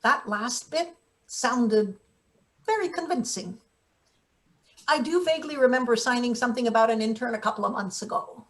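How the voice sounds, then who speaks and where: Woman playing a dry, higher-voiced scheming worker. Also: normal speed, long pauses, close to an everyday voice. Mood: dismissive. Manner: dry, sardonic. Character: wry, scheming, bossy senior maintenance worker. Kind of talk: live theatre table read.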